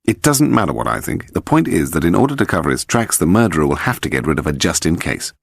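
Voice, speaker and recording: Male narrator as suave detective, low, creaky, assured, authoritative, audiobook mystery. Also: big pitch swings, fast talker, no room sound, studio quality, exaggerated voice. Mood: urgent, assured. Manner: assured, reasoning aloud.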